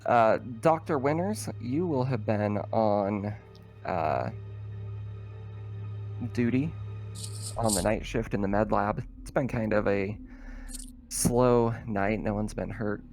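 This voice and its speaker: A man narrating a tabletop roleplaying game as game master, in an informal, halting way. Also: lowish voice, darkish tone, soft background music.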